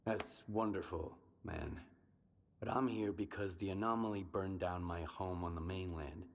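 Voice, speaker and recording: An actor playing a determined, plain-spoken drifter in a video game, talking in a direct, informal way. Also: male voice, low-pitched, fairly even intonation, normal speed, long reverb tail.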